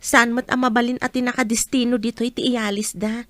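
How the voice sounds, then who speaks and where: A woman's voice: on the high side, flat delivery, fairly quick, no room sound, lightly acted. A woman playing a caring young village health worker in a radio drama. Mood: friendly, wistful.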